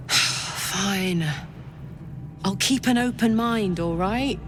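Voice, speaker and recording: Gruff adventuring companion: unhurried, blunt, casual delivery, fantasy game. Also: female voice, mid-range voice, noisy background. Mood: grudging. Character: blunt, plain-spoken woman companion, gruff but reasonable. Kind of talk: fantasy video game acting.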